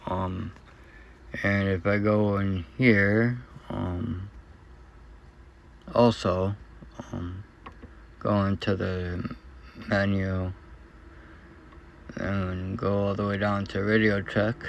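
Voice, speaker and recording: A man talking in a casual, unscripted vlog, troubleshooting in a hesitant and unsure, halting way. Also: fairly low pitch, flat delivery, vocal fry, lo-fi sound, a little hiss or hum. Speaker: vlogger.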